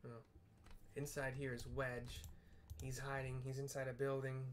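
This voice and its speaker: A man's voice: medium pitch, completely flat delivery, moderate pace, slight background noise. Easygoing man describing gameplay aloud, playful asides. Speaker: game narrator. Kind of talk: casual gameplay narration with audio description.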